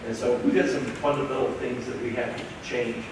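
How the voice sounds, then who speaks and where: A man's voice: medium pitch, flat delivery, fairly quick. A man speaking on a panel, candid and emphatic, with frequent restarts. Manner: candid, restarts often, builds to emphatic points. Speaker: university system president on a panel. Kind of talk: panel discussion. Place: large echoey event hall. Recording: echoey hall from far off, noisy.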